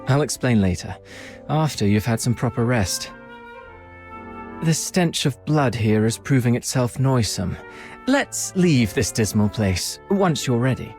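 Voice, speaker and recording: An actor playing a suave aristocratic prince in a video game, wry and caring, with refined, theatrical formal diction. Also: male voice, medium pitch, lively intonation, a little slow, a little mellow. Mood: reassuring. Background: background music.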